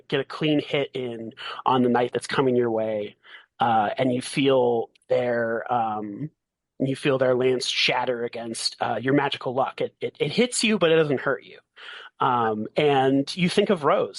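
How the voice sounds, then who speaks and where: A man running a tabletop roleplaying game, narrating haltingly and thinking aloud as he goes. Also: mid-range voice, dry recording. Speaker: game master.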